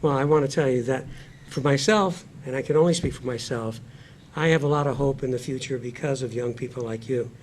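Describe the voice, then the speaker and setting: Male discussion host, mid-pitched, earnest and deliberate, recorded with audible background noise. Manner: earnest, deliberate, repeats phrases for emphasis. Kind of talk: moderated panel discussion.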